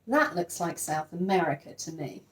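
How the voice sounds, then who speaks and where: A woman's voice: fairly low pitch, expressive, normal speed. A woman teacher reading a storybook expressively to young children, with chatty asides to the listeners. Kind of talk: animated storybook read-aloud.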